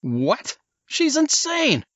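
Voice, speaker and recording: Man playing a rattled agent, swooping upward in pitch. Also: wildly swooping pitch, very slow, fairly bright tone, no room sound, muffled recording, exaggerated voice. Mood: exasperated. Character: agitated, incredulous male agent, easily rattled.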